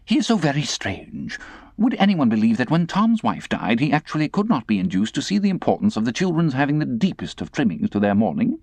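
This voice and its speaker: A man's voice: mid-range voice, sing-song, a little fast, darkish tone, no echo, pitched above the performer's own voice. A man voicing an indignant, self-pitying middle-aged lady in a storybook, plaintive, fussy and self-righteous. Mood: affected pity.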